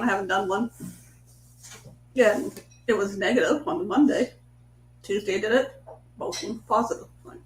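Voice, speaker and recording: A middle-aged woman host talks casually and at length on a home live stream, her voice stuffy and congested. Her talk rambles with restarts. The room rings with echo under a faint hum. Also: medium pitch. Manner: rambling, chatty, with many restarts. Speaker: livestream cooking host. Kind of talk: casual chat on a live stream, greeting viewers.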